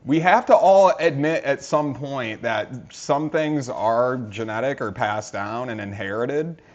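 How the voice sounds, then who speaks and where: A man's voice: mid-range voice, a little slow. A man giving casual reaction commentary over a clip, with sarcastic offhand asides. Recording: slightly dull lo-fi audio.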